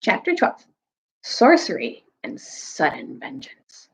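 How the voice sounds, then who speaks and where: A woman reading and retelling a story aloud in an expressive storytime style, like a teacher with students. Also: deep voice, takes it slowly, creaky. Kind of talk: storytime read-aloud with recap.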